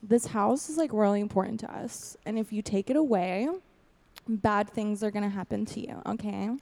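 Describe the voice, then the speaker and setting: A woman's voice: medium pitch, normal speed, vocal fry, dark tone, close to an everyday voice. A woman playing a cheeky, easily grossed-out daughter in improvised comedy. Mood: defiant. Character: cheeky, grossed-out daughter talking back to parents.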